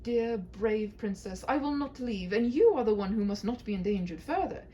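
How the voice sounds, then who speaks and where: An earnest, courtly knight from a comic storybook, as a woman reader puts him on. He sounds gallant and reasonable, with lively, swooping emphasis. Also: medium pitch, normal speed, highs cut off, a little hiss or hum, natural voice. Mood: gallant, resolute. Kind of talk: humorous fairy-tale read-aloud.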